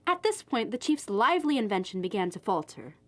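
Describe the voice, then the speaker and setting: A woman's voice: mid-range voice, very animated, a little fast, close to an everyday voice. A woman narrating a storybook audio drama as a scripted storyteller. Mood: storytelling. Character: storybook narrator of a brigand tale. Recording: music bed behind the voice.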